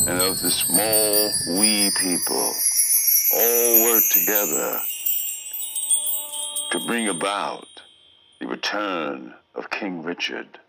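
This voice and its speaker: A man's voice: lowish voice, very noisy. A man narrating a scripted fantasy tale in a theatrical, portentous radio drama manner. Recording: music under the voice. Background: prominent music.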